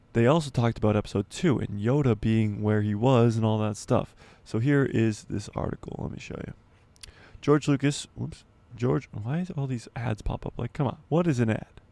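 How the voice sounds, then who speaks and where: A man hosting a casual livestream, reading an article aloud and commenting as he goes, stumbling and correcting himself, his voice going hoarse. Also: mid-range voice, mellow, dry recording. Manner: rambling, stumbles and self-corrects, laughs at himself. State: losing his voice, clearing throat. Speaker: YouTube livestream host.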